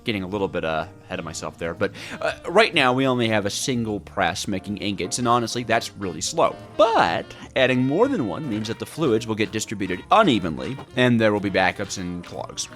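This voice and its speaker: A man's voice: fairly low pitch, very animated, a little fast, few pauses, fairly bright tone, faint music behind. A man narrating a story-driven gaming video from a script, with a theatrical, mock-grandiose delivery.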